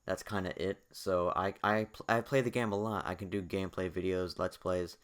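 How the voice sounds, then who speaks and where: A man's voice: sits low, steady tone, normal speed, a bit bright. A young man talking casually over a video, rambling with many fillers and repeats, his voice partly lost. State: losing his voice. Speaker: gaming YouTuber.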